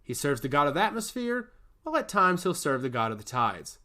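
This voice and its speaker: Casting: male narrator as a smug fantasy emissary and teasing schemer, theatrical, with lively swooping pitch. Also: a little fast. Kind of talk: fantasy audio story character.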